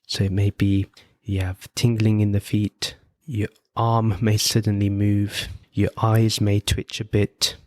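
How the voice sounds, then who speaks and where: A man leading a guided meditation as host, giving gentle, unhurried instructions with frequent pauses. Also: lowish voice, little pitch movement, no echo, clean studio sound. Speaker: podcast host guiding meditation.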